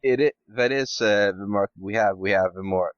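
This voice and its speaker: A man speaking as a podcast guest, tentative and halting as he thinks through his opinion in casual discussion. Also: lowish voice, clear, resonant tone, dry recording, lo-fi sound.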